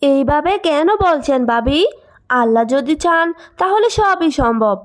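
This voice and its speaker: A woman's voice: high-pitched, expressive, a little slow. A woman voicing a kind, patient young village widow and mother in a cartoon moral story, speaking earnestly. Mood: patient, hurt. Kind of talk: animated moral story acting.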